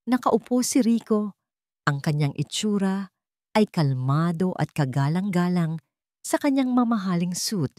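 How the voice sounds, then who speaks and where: A woman narrating a scripted drama story, phrasing it dramatically and deliberately in short pieces. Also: fairly low pitch, a little mellow, no room sound, studio quality.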